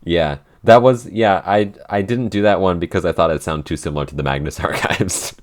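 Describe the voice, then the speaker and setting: Very deep-voiced man, rambling podcast host. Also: fairly quick. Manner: rambling, self-mocking, chuckles often. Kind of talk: casual podcast Q&A chat.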